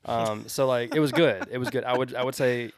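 Husky-voiced man with gravelly fry. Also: mid-range voice, fairly quick, no echo. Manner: joking, animated storyteller. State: slightly husky voice today. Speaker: podcast co-host. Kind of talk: casual podcast chat.

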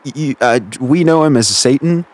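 A man hosting a casual show, talking in a hesitant, halting way. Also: medium pitch, a little hiss or hum. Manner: hesitant, halting, full of fillers. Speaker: host. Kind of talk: casual chat.

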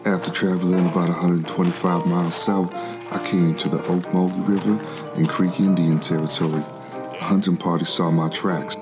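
An actor playing a weary, determined man who escaped slavery in the 1700s, narrating his flight in a measured, solemn stage style. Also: low voice, little pitch movement, over music. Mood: reflective. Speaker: narrator in a gospel oratorio. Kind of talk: dramatic scripted first-person narration.

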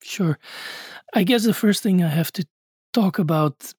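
A man from Belgium, a scholar of religion, answering interview questions in a thoughtful, measured way. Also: high voice, darkish tone, husky, no echo, studio quality.

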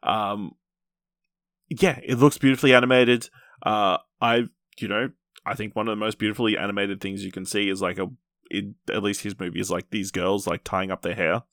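A man's voice: on the low side, fairly even intonation, a little fast, a bit bright, no room sound, clean studio sound. A man hosting a podcast and chatting casually about films. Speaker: podcast host. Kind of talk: casual film review chat.

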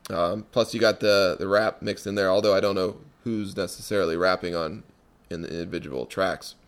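A laid-back man hosting a podcast and chatting easily with his guest. His voice sits fairly low, and he fills his pauses with ums as he talks his way through each question. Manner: relaxed, many ums and restarts. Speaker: podcast host. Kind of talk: casual interview chat.

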